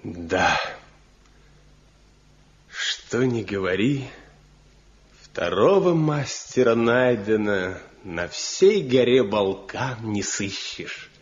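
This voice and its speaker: An actor playing a proud, self-assured master woodcarver and husband in a radio drama, with a boastful, expressive delivery. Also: male voice, lowish voice, extremely slow, long pauses. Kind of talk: stage-trained radio drama acting. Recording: muffled old radio drama recording.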